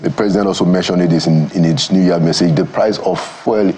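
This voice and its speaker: A Nigerian man answering as a guest in a TV studio interview, speaking in a measured, thoughtful way and pausing to find his words. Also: low-pitched, fairly even intonation, mellow, slight background noise. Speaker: studio guest, former government aide. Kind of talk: morning TV interview.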